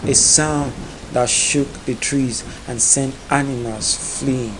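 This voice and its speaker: A man narrating a dark epic folktale in a solemn, dramatic storytelling manner. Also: mid-range voice, steady tone, takes it slowly, noisy background.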